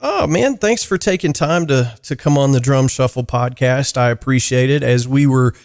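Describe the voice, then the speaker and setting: A man's voice: mid-range voice, normal speed, a little mellow. Laid-back man hosting a podcast. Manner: easygoing, lots of fillers and restarts. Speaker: podcast host. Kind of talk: casual interview chat.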